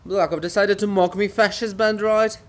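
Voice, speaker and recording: A man's voice: high voice, steady tone, fairly quick, pitched above the performer's own voice, lightly acted. A man voicing a living monument of a haughty, paranoid genius in a theatrical farce reading, declaiming and ranting. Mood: irritated.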